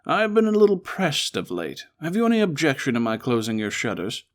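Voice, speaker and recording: A man's voice: a little high, wildly swooping pitch, fairly quick. A man playing a brilliant, weary but resolute Victorian detective in a one-man audio drama, articulate, formal and emphatic. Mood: weary, polite.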